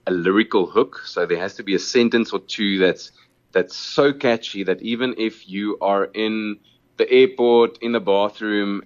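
A man's voice: sits low, dry recording, lo-fi sound. A South African man whose first language is Afrikaans, a musician answering interview questions in an earnest way, often repeating words while he thinks.

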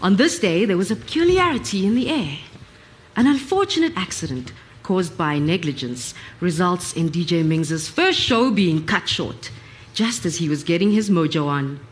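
A woman's voice: fairly low pitch, lively intonation, normal speed. A woman reading scripted narration for a live radio drama.

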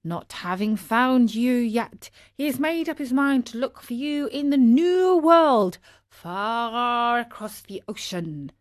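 A woman voicing a large, helpful male pigeon in a storybook, speaking in a measured, matter-of-fact, inquiring way. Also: on the high side, lively intonation, dry recording, clean studio sound. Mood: informative. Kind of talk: storybook character voice.

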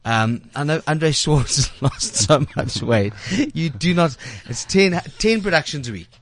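Chatty male radio host, halting and rambling. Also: fairly high pitch, animated. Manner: rambling, halting, repeats words and restarts sentences; chatty, teasing banter with guests. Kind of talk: casual live studio interview. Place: radio studio. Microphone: studio microphone.

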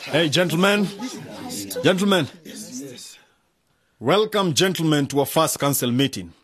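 Formal council chairman, declaiming, bit higher pitched, radio play. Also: animated, measured pace, a bit bright, husky, full character voice. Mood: formal, welcoming. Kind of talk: radio drama acting.

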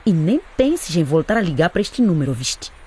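A woman's voice: on the low side, sing-song, fairly quick, darkish tone, slightly rough. A woman playing a fierce, protective mother in a radio drama, emphatic and scolding in manner. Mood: furious. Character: fierce, indignant mother protecting her children.

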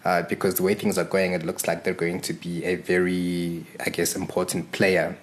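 A young man from Zimbabwe, a podcast guest, telling his story in a relaxed, thoughtful way with many fillers and restarts. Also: deep voice, normal speed. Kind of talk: casual interview storytelling.

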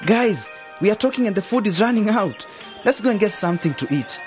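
Young male radio-drama role: earnest explainer, very animated pitch, faint music behind. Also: brisk. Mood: thoughtful. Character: earnest, reasoning youngster pitching ideas in friend group. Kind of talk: educational radio drama acting.

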